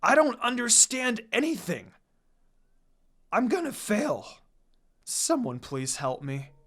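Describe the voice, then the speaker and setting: A man voicing a hapless young ninja boy in an anime story, loud and pleading. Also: extremely high pitch, wildly swooping pitch, takes it slowly, slightly rough, voice pushed up, exaggerated voice. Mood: panicked. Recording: music behind the voice.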